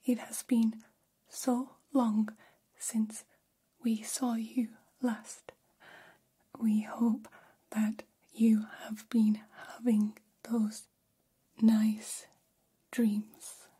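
A woman voicing a gentle, formal alien caretaker in an ASMR roleplay, whispering softly with slow, deliberate phrasing and long pauses. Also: a little high, little pitch movement, exaggerated voice. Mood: tender, reassuring. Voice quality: soft, breathy whisper. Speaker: ASMR performer. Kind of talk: ASMR roleplay, personal attention.

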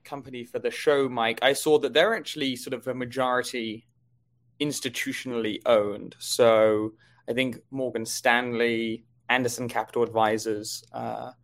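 A man's voice: mid-range voice, little pitch movement, normal speed. A man co-hosting a stock market show, giving conversational commentary on companies and markets.

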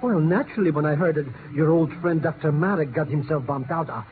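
An actor playing an excitable, cowardly comic sidekick with a foreign accent in a 1950s radio drama. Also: male voice, a little high, a little fast, soft background music, lightly acted. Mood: wheedling, sheepish. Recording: tinny, noisy old radio broadcast.

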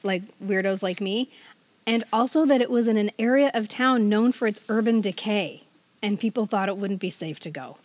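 A woman's voice: medium pitch, moderate pace, dry recording. A middle-aged woman co-hosting a podcast in casual chat, playful and self-deprecating. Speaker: podcast co-host.

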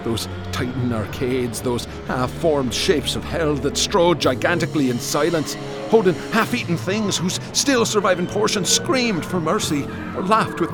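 A man's voice: a little high, natural voice. An actor playing a haunted, traumatized man who recounts nightmarish horrors in a florid, intense, theatrical radio drama delivery. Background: music.

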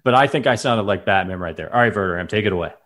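A man's voice: lowish voice, expressive, very fast, a bit bright. A man co-hosting a podcast in casual, playful chat, often laughing. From lives in Chicago. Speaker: podcast co-host.

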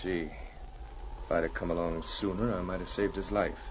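A man's voice: deep voice, fairly even intonation, normal speed, close to an everyday voice. An actor playing a shaken, earnest townsman in an old-time radio drama, speaking hesitantly. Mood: uneasy, regretful. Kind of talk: 1940s radio acting. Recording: muffled, hissy old radio recording.

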